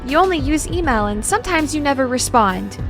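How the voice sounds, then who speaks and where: Woman acting a reserved, high-voiced bookish young woman. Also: lively intonation. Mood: mild, hesitant. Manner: polite, measured, mild. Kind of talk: audio drama acting with narration. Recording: music behind the voice.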